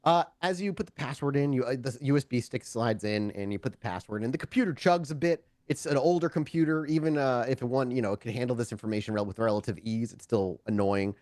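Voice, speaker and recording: A man narrating a tabletop roleplaying game as storyteller, improvising in a conversational, halting way and often correcting himself. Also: medium pitch, a little mellow, no room sound.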